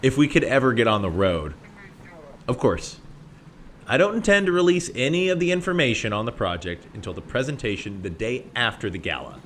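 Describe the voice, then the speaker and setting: Snobby short-tempered professor, sarcastic and belittling, super animated delivery, bit of background noise, radio drama. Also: male voice, mid-range voice, a little fast. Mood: curt, dismissive. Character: arrogant, condescending male scientist, short-tempered boss. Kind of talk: audio drama acting.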